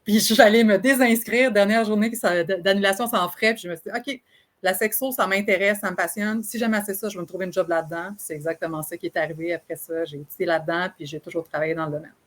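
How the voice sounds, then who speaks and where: A woman from Beauce with a Quebec French accent, a sexologist guest telling her story in a lively, animated way with easy laughter. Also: medium pitch, quick pace. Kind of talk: casual interview storytelling.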